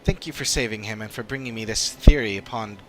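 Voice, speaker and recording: A man voicing an earnest, analytical adventuring companion in a fantasy game, speaking in a measured, formal way. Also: on the low side, faint music behind, natural voice, low-quality recording. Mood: thoughtful. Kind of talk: dramatic reading of game dialogue.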